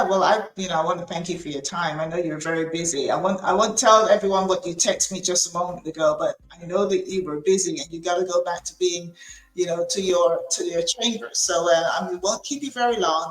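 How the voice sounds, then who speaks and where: Chatty woman host in an echoey room. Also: lowish voice. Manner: chatty, many fillers and restarts. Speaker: podcast host. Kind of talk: casual interview chat.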